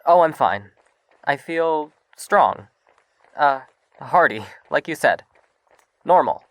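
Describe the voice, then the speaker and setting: A man's voice: on the high side, animated, slow pace, dry recording. A man playing an anxious, self-deprecating lone traveller in a zombie audio drama, speaking in a hesitant, awkward, wry way. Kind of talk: audio drama acting, casual dialogue.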